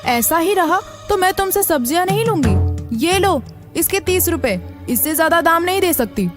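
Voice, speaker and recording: A man's voice: very high voice, lively intonation, normal speed, pitched above the performer's own voice. A man voicing a village woman customer who complains and haggles over price, with music behind. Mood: complaining. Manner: complaining, bargaining. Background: background music.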